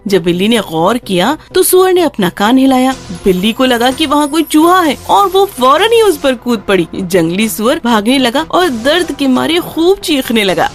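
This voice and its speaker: A woman's voice: sits high, very animated, normal speed, few pauses. A woman reading a scripted children's story as its narrator. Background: soft music.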